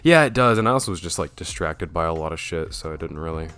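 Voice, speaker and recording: Young male podcast host. Very low, deep radio-style voice, loose and rambling. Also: fast talker, clear, resonant tone. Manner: relaxed and rambling, with frequent fillers. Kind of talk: casual chat.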